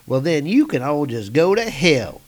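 A man's voice: fairly high pitch, very animated, normal speed. A man doing an angry defiant townsman. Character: tough angry male rural townsman, defiant.

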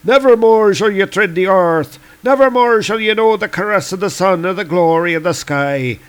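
A man's voice: sits high, normal speed, clear, resonant tone, voice pushed up, close to an everyday voice. Man putting on a proud, bombastic Celtic warrior villain. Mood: gloating. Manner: declamatory, grandiose archaic speech. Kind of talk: reading comic book dialogue aloud.

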